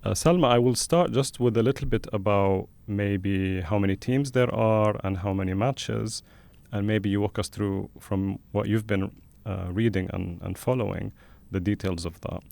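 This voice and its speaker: A man hosting a conversational interview, speaking in a thoughtful, halting way as he frames questions. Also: on the low side, gravelly, a little mellow. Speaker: podcast host and interviewer.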